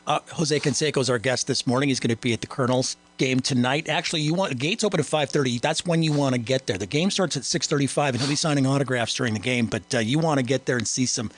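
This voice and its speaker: Male morning radio host, mid-pitched voice, talkative, loops and restarts through long questions. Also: fast talker, few pauses. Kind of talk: radio interview.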